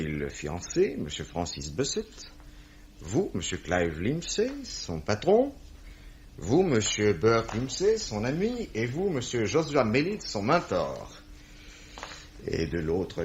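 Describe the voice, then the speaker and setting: An actor playing a shrewd, authoritative police commissioner, speaking formally and courteously in a 1950s-style French radio mystery. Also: male voice, lowish voice, slight background noise, heavily acted. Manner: formal, measured, theatrical. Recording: dull vintage radio recording.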